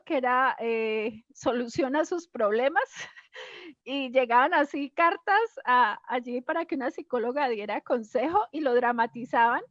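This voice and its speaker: A woman's voice: sits high, no room sound, muffled recording. A middle-aged woman with a Colombian accent, reminiscing casually in conversation. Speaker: interviewee sharing childhood memories.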